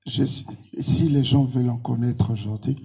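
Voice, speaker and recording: Man, Congolese accent, very dark and husky voice, emphatic repeated phrases, pastor in interview. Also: medium pitch, steady tone, normal speed. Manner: emphatic, repetitive short declarations. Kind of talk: interview answers with preaching.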